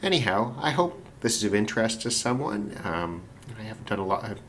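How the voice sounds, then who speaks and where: A man's voice: lowish voice, lively intonation, fairly quick, a bit bright. A man presenting a hobby radio demonstration in casual, unscripted talk, musing and thinking aloud. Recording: clearly noisy hobby recording.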